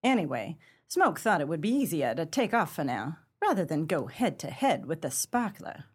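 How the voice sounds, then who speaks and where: A woman playing a tough, proud 1930s gang leader in a pulp crime radio play, with brassy, slangy gangster talk and a husky voice. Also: low-pitched, sing-song, moderate pace, heavily acted. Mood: bossy, scheming.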